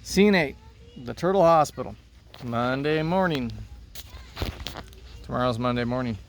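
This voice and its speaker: A man's voice: mid-range voice, animated, measured pace, distant mic. A man speaking as a teacher, reading a children's play aloud to his students. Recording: noticeable background noise.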